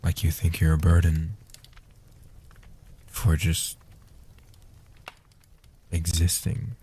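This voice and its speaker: A man playing an ancient, protective male vampire in a soft-spoken comfort roleplay, speaking in a breathy, hushed, deliberate and soothing way. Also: low voice, fairly even intonation, slight background noise, heavily acted. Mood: tender, reassuring. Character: ancient male guardian vampire, protective, tender, world-weary.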